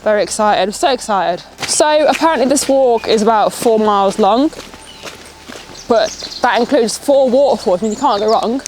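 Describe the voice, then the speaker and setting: A young woman with a Nottingham accent, sniffly and a bit nasal, chatting excitedly as a travel vlogger while out walking. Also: a little high, normal speed, a little hiss or hum. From Nottingham, England. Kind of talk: chatty walk-and-talk vlog. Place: outdoors on a countryside walk, rain.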